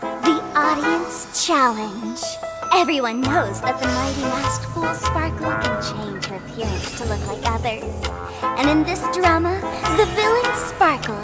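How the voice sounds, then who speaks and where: A woman's voice: high voice, animated, unhurried, over loud music. A woman voicing a mischievous young female trickster in a video game, teasing and showy like a stage announcer. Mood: gleeful, showy.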